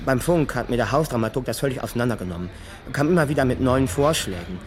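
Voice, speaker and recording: An actor playing an exasperated radio playwright, recalling things in a rueful, conversational way in a radio drama. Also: male voice, medium pitch, expressive, fast, natural voice.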